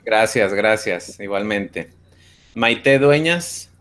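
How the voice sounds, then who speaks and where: A man's voice: on the low side, expressive, very slow, a bit bright, a little hiss or hum. A man, a teacher greeting students one by one on a video call. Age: 30s. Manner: friendly, brief acknowledgments. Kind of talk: online class roll call.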